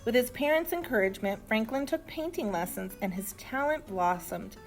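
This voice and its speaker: A woman reads a children's storybook in an expressive, deliberate storytelling manner. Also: a little high, faint music behind. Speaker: storybook reader. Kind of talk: animated children's story narration.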